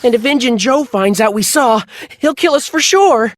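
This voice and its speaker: Storybook role: serious young boy voiced by a woman, higher register, urgent and scared, close dry sound. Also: expressive, moderate pace, no room sound, close to an everyday voice. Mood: grave, fearful. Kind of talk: children's animated storybook acting.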